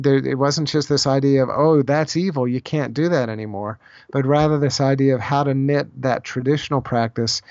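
Gravelly man explaining thoughtfully in conversation. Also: mid-range voice, darkish tone, dry recording. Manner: halting, thoughtful, repeats phrases as he explains. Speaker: podcast guest, author on Celtic spirituality. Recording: slightly dull, lo-fi audio.

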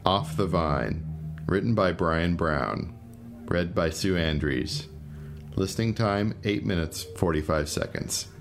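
A man's voice: deep voice, lively intonation, takes it slowly, creaky, faint music behind. A man reading scripted announcements as the host of an audio podcast. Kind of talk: scripted narration.

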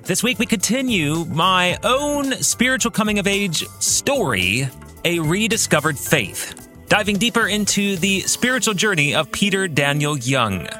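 A man's voice: high voice, big pitch swings, a little slow, bright, forceful tone, faint music behind. A man hosting a podcast, narrating in an earnest, emphatic way as an author introducing his work.

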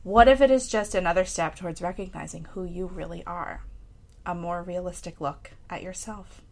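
A woman's voice: lowish voice, normal speed. A woman reading her own personal essay aloud for a podcast, reflective and candid, with a lightly self-amused, conversational touch. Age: adult parent of a young child. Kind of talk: personal essay read aloud with casual asides.

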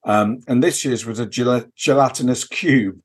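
A man's voice: medium pitch, normal speed, slightly rough. A man chatting casually as a podcast co-host, hesitant and rambling as he recounts things, leaning on filler phrases. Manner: hesitant, rambling delivery full of "you know" and "kind of". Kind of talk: casual off-the-record chat, recounting a convention visit.

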